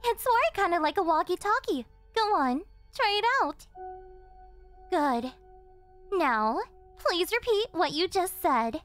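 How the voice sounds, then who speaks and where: An extremely high, swooping young woman's voice in an anime yandere roleplay. She is an obsessive captor who sounds sweet, theatrical and teasing, with possessiveness under the cheer. Faint music plays behind her. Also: sing-song, slow pace, a bit bright, exaggerated voice. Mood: bossy, coaxing. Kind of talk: yandere ASMR roleplay acting, anime-style.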